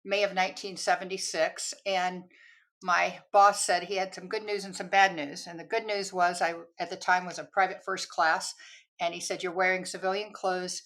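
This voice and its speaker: An older woman speaks as a podcast guest, recounting her years in army counterintelligence with lively storytelling and easy laughter. Her voice sits somewhat low for a woman. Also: moderate pace. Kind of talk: telling her career story in an interview.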